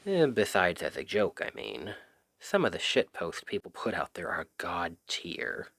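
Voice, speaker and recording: A man's voice: low-pitched, very animated, a little slow, fairly bright tone, no room sound, clean studio sound, heavily acted. A man playing an exasperated, secretly smitten young Cupid best friend in a conversational, emphatic ASMR roleplay. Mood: wry, matter-of-fact. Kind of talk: ASMR roleplay audio drama, one-sided conversation.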